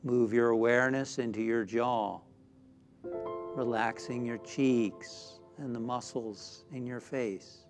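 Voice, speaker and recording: Slow-paced man guiding a meditation over music. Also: mid-range voice, fairly even intonation. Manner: soothing, deliberate, with frequent pauses. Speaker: minister leading a guided meditation. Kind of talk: guided meditation, spiritual talk. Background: background music.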